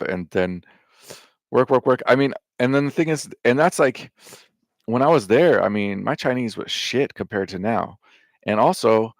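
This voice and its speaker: Gravelly low-voiced man rambling casually. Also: no echo, clean studio sound. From United States. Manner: loose and meandering, with lots of fillers, restarts and swearing. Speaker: vlogger.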